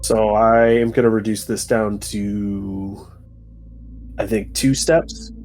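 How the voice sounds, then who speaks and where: A man's voice: on the low side, steady tone, slow pace, a little mellow. A man chatting casually as a player at a tabletop roleplaying game. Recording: music bed behind the voice.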